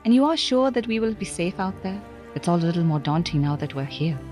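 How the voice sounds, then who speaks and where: An actress playing a poised, enigmatic highborn amateur scientist, formal and courteous, in an audio drama. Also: female voice, lively intonation, quick pace, darkish tone, soft background music, close to an everyday voice. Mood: uneasy.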